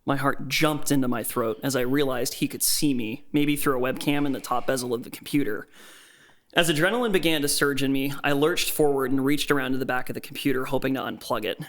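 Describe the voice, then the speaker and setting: A man's voice: medium pitch, a little fast, fairly bright tone, close to an everyday voice. An actor playing a frightened captive office worker, narrating his ordeal in the first person as an earnest, tense horror story. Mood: tense dread.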